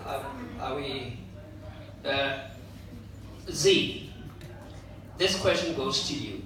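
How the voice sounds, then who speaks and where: A man's voice: medium pitch, drawn-out words, fairly bright tone. A man moderating a panel, probing his guests in a teasing, questioning manner. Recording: echoey hall from far off, noisy.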